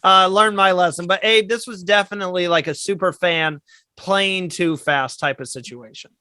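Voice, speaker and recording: A man hosting a casual podcast, talking fast and animated with frequent laughs. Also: sits high, dry recording. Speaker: podcast host.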